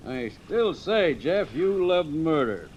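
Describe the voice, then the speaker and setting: Animated, skeptical man. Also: fairly high pitch, takes it slowly. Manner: dismissive, reasoning. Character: skeptical, exasperated companion of a sleuth. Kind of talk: 1940s radio acting. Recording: muffled, noisy old radio recording.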